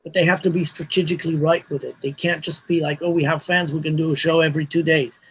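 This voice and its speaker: A man's voice: a little high, fairly even intonation, fast talker, breathy edge, rough recording. A man, a Hebrew speaker, talking casually as an interview guest, rambling with restarts and frequent "you know" fillers. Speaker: mastering engineer guest.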